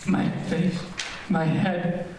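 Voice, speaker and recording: A man's voice: high voice, little pitch movement, extremely slow, darkish tone. An actor playing a reckless, obsessed man in an old-time radio horror play, commanding, urgent and melodramatic. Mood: panicked, desperate. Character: reckless, obsessed man called Doc, driven to panic. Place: echoey room, live streamed performance. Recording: echoey, distant, noisy streamed stage audio.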